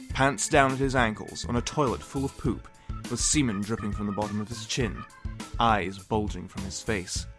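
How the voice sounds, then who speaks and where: A man's voice: medium pitch, fairly bright tone. A man narrating comedic fan fiction in a mock-serious, theatrical way with wry asides. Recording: music behind the voice. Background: music.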